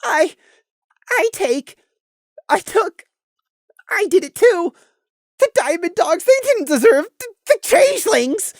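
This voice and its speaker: A high, cracking young pony stallion, as a woman narrator puts him on, stammering through tears in a storybook reading. He swings wildly in pitch between sobs and shouts, heard in a dry, close recording. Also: sing-song, takes it slowly, pauses often, no echo, exaggerated voice. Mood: anguished. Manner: stammering, sobbing, breaking into shouts. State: crying. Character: young male pony, broken and self-loathing.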